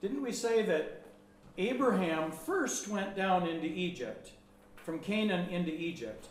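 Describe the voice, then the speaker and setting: A pastor in his sixties teaches in short, friendly phrases and waits for each one to be translated. His voice is a bit higher than most men's. He is recorded in a roomy, echoing hall with faint background ambience. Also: lively intonation, slight background noise. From United States. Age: 60s. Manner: friendly, plain-spoken, pausing for translation.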